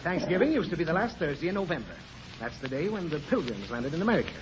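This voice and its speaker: A man's voice: on the high side, very animated, fast. An actor playing a long-suffering father, pedantic and flustered, in old radio comedy. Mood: patient explaining. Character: exasperated, long-suffering father explaining things to his daughter. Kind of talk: 1940s radio comedy acting. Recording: muffled noisy old radio recording, studio audience.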